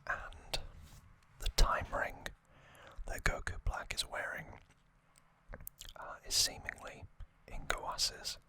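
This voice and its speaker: An ASMR host speaks entirely in a bright, sibilant whisper. The delivery is slow and level, with soft, breath-only words set apart by frequent pauses, like a gently paced trivia recap.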